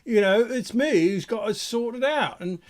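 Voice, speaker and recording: A man playing a put-upon lowly underling in a comic monologue, grumbling with aggrieved sarcasm about cleaning up after monsters. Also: lively intonation, moderate pace. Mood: exasperated grumbling. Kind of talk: comic monologue in a creature-lore video.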